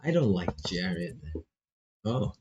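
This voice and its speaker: A man's voice: low-pitched, very animated, slow pace, dull audio, over music. A man doing laid-back, casual live commentary on a visual novel, reading text aloud and swearing freely as he reacts.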